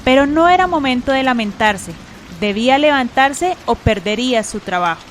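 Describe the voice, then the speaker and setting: High-voiced woman narrating a radio drama. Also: expressive, moderate pace. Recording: faint rain-like hiss.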